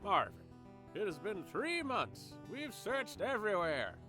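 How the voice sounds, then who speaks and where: A man's voice: high-pitched, wildly swooping pitch, a little slow, pushed and bright, soft background music, exaggerated voice. A man playing a smug, corrupt small-town lawman with a folksy, taunting drawl in an audio drama. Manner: drawling, folksy, taunting, calls himself by name.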